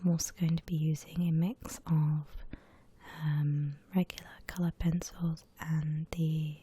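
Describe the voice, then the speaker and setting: A woman gently whispers an ASMR drawing tutorial in a breathy voice, explaining each step without hurry. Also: sits low, little pitch movement, measured pace, slight background noise.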